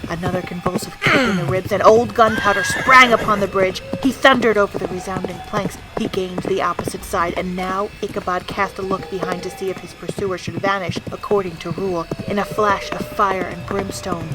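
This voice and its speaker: A woman's voice: mid-range voice, steady tone, brisk, hardly any pauses, poor audio. Theatrical woman narrator over music. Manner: theatrical, swelling from hushed to loud. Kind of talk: dramatic scripted story narration.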